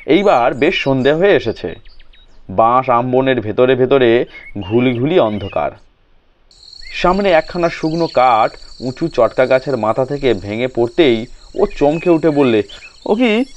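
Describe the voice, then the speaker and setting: A man narrating a short story in a measured, literary storytelling manner. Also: medium pitch, expressive, highs cut off, slight background noise.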